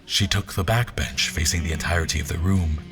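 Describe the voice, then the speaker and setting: Audio drama lead: actor as pompous male general, low voice, measured literary narration over prominent music. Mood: brooding, observant. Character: formal, pompous, troubled male general recounting his memories.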